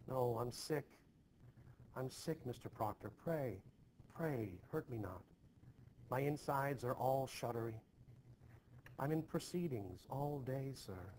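A man playing a timid, shaken young Puritan servant girl in an expressive play reading, meek and pleading in archaic phrasing. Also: medium pitch, slow, long pauses. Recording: noisy home recording.

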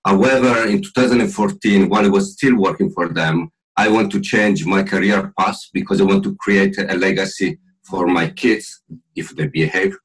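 A middle-aged man speaks English with an Italian accent, his voice very low and a little husky. He talks over a video call in a chatty, joking way, with many pauses to think. It sounds like a home room, not a studio. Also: poor audio. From Italy. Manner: jokey, chatty, with filler pauses. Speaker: podcast guest. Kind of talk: casual interview talk.

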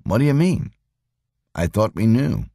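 A man voicing a gruff, sceptical male computer engineer in an audiobook, wry and grumbling. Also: lowish voice, sing-song, measured pace, dark tone, dry recording, studio quality, voice pushed up, exaggerated voice. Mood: impatient.

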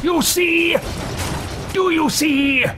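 A man's voice: extremely high pitch, fairly even intonation, drawn-out words, music in the background, heavily acted. A man voicing a haughty, easily frustrated old fantasy adventurer in a video game, with pompous, archaic, theatrical speech. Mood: indignant frustration. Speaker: gaming streamer roleplaying a character. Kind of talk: in-character roleplay game commentary. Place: small room with packed shelves, indoors.

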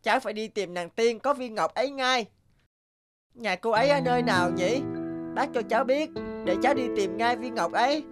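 A woman's voice: on the high side, moderate pace, soft background music, natural voice. Woman voicing an earnest little boy angel. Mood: worried, impatient. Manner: earnest, childlike, insistent. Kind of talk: Vietnamese cartoon dubbing.

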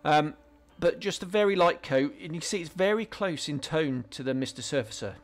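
Man explaining a model build, unhurried. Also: a little high, expressive. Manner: casual, explanatory, frequent ums and restarts. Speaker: scale modelling channel host. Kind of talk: relaxed hobby tutorial voice-over.

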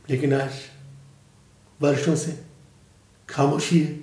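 A man performing a dramatic monologue as an aggrieved, dignified aging father giving a formal speech, solemn and earnest with long pauses. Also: on the high side, little pitch movement, a little mellow. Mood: solemn, reflective. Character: aggrieved, dignified aging father, a humble author addressing an audience. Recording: echoey room.

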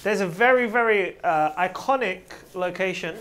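A woman's voice: mid-range voice, normal speed, a bit bright. A young woman co-presenting in a chatty, teasing, casual way. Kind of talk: casual chat.

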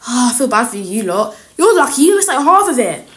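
Woman playing a sassy, high-voiced scheming schoolgirl. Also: sing-song, brisk, fairly bright tone. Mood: gossipy, complaining. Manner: chatty, slangy, sassy. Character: scheming, cliquey schoolgirl plotting against teachers. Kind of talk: improvised school role-play.

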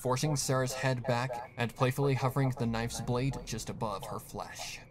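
Male narrator for scripted horror fiction, medium pitch, clear crowd noise in the background. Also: fairly even intonation, moderate pace.

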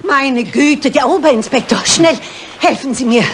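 An actress playing an effusive woman fussing anxiously in a crime drama, excitable and exclamatory. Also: high-pitched, moderate pace, close to an everyday voice. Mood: alarmed, concerned. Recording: noisy old soundtrack.

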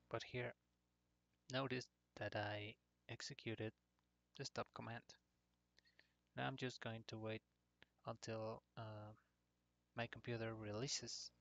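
A man narrating an informal software tutorial in short, hesitant phrases. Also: lowish voice, gravelly, no echo. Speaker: tutorial presenter. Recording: dull lo-fi computer microphone.

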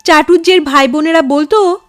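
A woman reading a story aloud as narrator, in a measured, expressive storytelling manner. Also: high voice, dry recording, soft background music. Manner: measured, dramatic storytelling delivery. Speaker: storyteller, channel host.

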